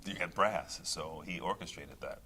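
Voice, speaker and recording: A middle-aged male opera singer talking in a relaxed interview, thoughtful, with many fillers and restarts. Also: sits low, moderate pace. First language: English. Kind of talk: casual interview talk.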